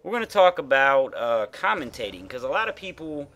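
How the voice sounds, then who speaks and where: A man's voice: mid-range voice, big pitch swings, brisk, a bit bright. A man with a nasal voice giving frank, rambling commentary over gameplay as a gaming YouTuber, full of fillers and the odd swear.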